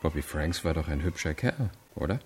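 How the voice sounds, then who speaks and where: A man's voice: a bass voice, animated, a little fast, a little hiss or hum. A man playing a hard police investigator in a radio crime drama, questioning tersely and with insinuation. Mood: terse, probing. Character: hard, probing male police investigator in 1920s Chicago. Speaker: police interrogator.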